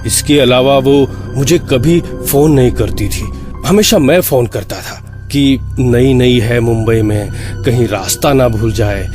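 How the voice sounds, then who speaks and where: An actor playing an anxious, exasperated man who tells his eerie story in a horror audio drama, halting and repeating words for suspense. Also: medium pitch, music in the background, natural voice. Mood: puzzled recounting. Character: anxious, exasperated male flat owner recounting eerie events. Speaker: narrator and lead of a horror story.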